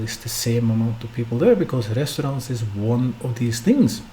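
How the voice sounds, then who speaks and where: Norwegian man with a mellow voice. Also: mid-range voice, a little hiss or hum, low-quality recording. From Norway. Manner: unscripted, hesitant with frequent fillers; asks the listener rhetorical questions. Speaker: stock podcast host. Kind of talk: solo market commentary podcast.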